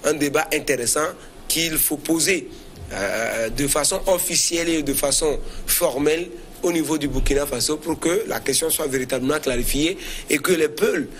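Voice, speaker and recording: Halting man, some background noise. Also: a little high. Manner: hesitant, halting delivery with repeated words. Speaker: panelist on a current affairs debate. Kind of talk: spontaneous political commentary in a discussion.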